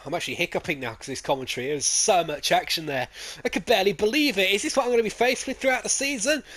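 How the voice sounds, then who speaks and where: Excitable high-voiced male race commentator. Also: very fast, a little hiss or hum. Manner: excitable, voice jumps up at crashes and overtakes; rambling asides and self-corrections. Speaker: sim racing league commentator. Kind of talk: live play-by-play race commentary.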